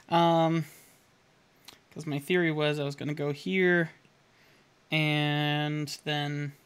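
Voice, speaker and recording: A man's voice: a little high, vocal fry, fairly bright tone. A man in his thirties streaming live as he paints, rambling in a self-deprecating way and chuckling often, talking into a headset in a home studio. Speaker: caricature artist streaming. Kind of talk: casual live-stream narration while painting. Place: home studio room indoors.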